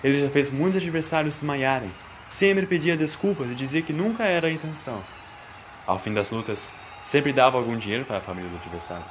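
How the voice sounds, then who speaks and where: A man's voice: mid-range voice, expressive, fast talker, slight background noise. A man with a Brazilian accent reading a scripted, dramatic story as its narrator. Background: music.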